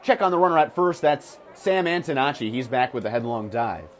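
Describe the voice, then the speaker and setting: A man's voice: medium pitch, expressive, a little fast, some chatter behind. An American man calling live baseball on the radio, mixing punchy, clipped calls with stat-filled asides. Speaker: baseball radio play-by-play announcer. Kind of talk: live sports commentary. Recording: clear ballpark noise behind the voice.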